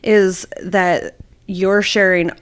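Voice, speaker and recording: A woman's voice: mid-range voice, little pitch movement, very slow, a little hiss or hum. A woman hosting a podcast, encouraging her guest and often asking "you know what I mean?". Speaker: podcast host. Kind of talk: casual interview chat.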